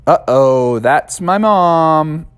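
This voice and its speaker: A man's voice: a little high, expressive, very slow, clear, resonant tone, no room sound. A man voicing a young, eager talk-show frog who talks earnestly and pleads with his friends. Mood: worried.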